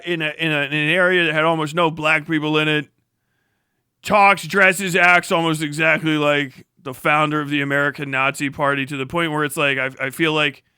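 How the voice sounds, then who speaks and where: A man's voice: a little high, sharp tone, smooth, no echo, studio quality. A man live streaming profane political commentary, mocking and sarcastic, swearing heavily in a combative way. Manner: heavy swearing. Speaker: live streamer reacting to a video.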